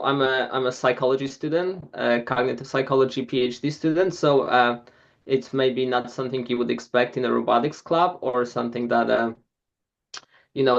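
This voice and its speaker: A man's voice: medium pitch, creaky, highs cut off. A man, giving an informal club presentation in a hesitant way with many filler sounds. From Poland. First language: Polish.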